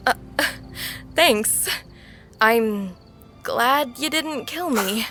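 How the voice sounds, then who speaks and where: Slow, slightly high-voiced wry woman, played by an actress. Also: bright, forceful tone, soft background music, exaggerated voice. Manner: halting, wry, candid. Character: wry, tough but vulnerable woman. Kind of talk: audio drama acting.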